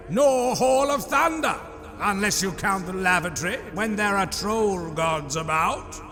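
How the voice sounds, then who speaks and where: A high, swooping voice rings through a long, reverberant hall as a snide elderly librarian of the gods. He is haughty and theatrical, stretching out his mock courtesies in a fantasy audio drama. Music plays clearly beneath him. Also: exaggerated voice. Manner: theatrical, sardonic, drawn-out mock politeness. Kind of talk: audio comic drama acting.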